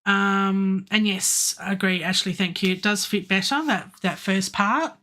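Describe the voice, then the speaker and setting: A middle-aged woman with a hoarse, sore throat, thinking aloud as she works on a song at home, with lots of ums and pauses. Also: mid-range voice. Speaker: music producer streaming a songwriting session. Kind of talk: casual live-stream talk while working. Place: home studio, indoors. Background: faint music playback from the session.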